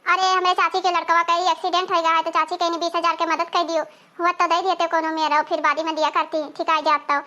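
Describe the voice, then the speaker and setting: A woman acts out a worried middle sister-in-law from an Awadhi cartoon comedy. The voice is extremely high and sharply bright, chatty and pleading, with an Awadhi village accent. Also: flat delivery, fast, muffled recording. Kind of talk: cartoon family comedy dialogue.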